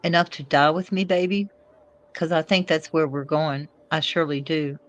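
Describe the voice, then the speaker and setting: A woman's voice: low voice, steady tone, normal speed, no room sound, close to an everyday voice. A woman reading the part of a disillusioned young female outlaw in love, with plaintive, folksy phrasing. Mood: tender, resigned. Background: faint music.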